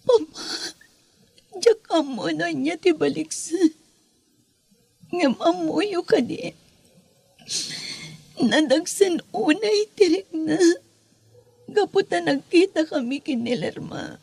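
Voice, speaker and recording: A very high-voiced daughter acted by a woman in a melodramatic audio drama. She is anguished and reproachful, pleading emotionally with her father. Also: lively intonation, slow pace, vocal fry, pauses often, darkish tone, no room sound, full character voice. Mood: tearful, reproachful.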